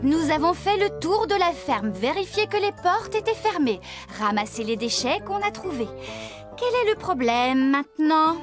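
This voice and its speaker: A cheery young farmer lady for a kids cartoon, really high voice, music behind. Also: big pitch swings, normal speed. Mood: brisk, practical. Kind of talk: animated children's cartoon dubbing.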